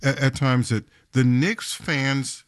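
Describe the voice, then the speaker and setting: A man hosting a morning radio show, asking eager questions and jumping in with quick interjections. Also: medium pitch, steady tone. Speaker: radio host. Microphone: studio mic.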